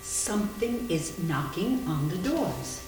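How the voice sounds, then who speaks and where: A wry, rational woman in a ghost drama acted by a woman, with a lively swing in pitch. The room is very echoey, with faint noise behind. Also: slow pace. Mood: tense, rational. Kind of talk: amateur readers theater performance.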